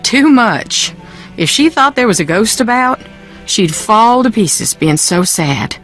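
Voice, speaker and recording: A wounded, defensive Southern woman in a mystery video game. Her pitch moves dramatically, and her manner is brittle and haughty. Faint music sits underneath. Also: sing-song, slow pace, fairly bright tone, exaggerated voice, rough recording. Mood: wistful, uneasy.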